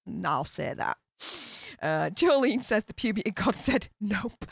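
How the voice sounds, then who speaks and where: Chatty Yorkshire woman streamer, lively pitch. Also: unhurried, vocal fry, slightly rough, no echo, clean studio sound. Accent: Yorkshire English. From Yorkshire, England. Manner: chatty, laughs often, reads comments aloud. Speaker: YouTube streamer reading live chat. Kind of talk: casual live-stream chat.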